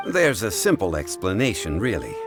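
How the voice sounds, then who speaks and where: Deep, courteous game scholar, measured delivery, faint score behind. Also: male voice, wildly swooping pitch, over music, exaggerated voice. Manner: unhurried, politely corrective. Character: calm, learned adult male consultant.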